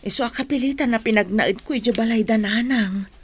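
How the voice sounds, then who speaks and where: A woman's voice: fairly high pitch, brisk, husky. An actress playing a sorrowful, apologetic village mother in an Ilocano radio drama, speaking with an Ilocano accent. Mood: troubled.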